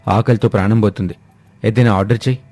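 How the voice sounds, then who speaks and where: A man's voice: lowish voice, steady tone, fast talker, a little mellow, slightly rough, no room sound, soft background music, pitched below the performer's own voice. A man voicing a hungry, puzzled family man in a cartoon story, complaining and questioning. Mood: alarmed, complaining.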